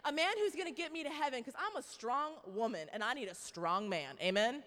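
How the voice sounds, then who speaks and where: A woman in her twenties giving a faith talk to a live audience, telling a personal story with animated asides. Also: on the high side, a little fast. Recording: long hall reverb.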